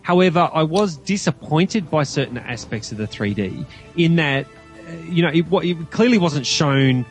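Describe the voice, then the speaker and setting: A man's voice: fairly high pitch, lively intonation, moderate pace, soft background music. A man with an Australian accent, talking casually as a guest podcaster in a film review discussion.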